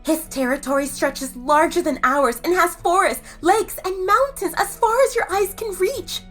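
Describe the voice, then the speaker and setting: A woman's voice: very high-pitched, normal speed, a bit bright, soft background music. A gushing young werewolf girlfriend. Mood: coaxing. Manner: eager, persuasive, teasing. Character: gushing young woman werewolf, the bride's friend. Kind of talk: audio drama acting.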